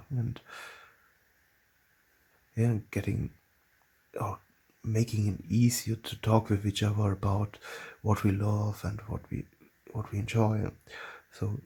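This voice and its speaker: A man with a German accent, a native German speaker, hosting his own channel in a casual talk to camera and often pausing and restarting as he searches for words. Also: lowish voice, vocal fry, a little mellow, breathy edge. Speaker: channel host.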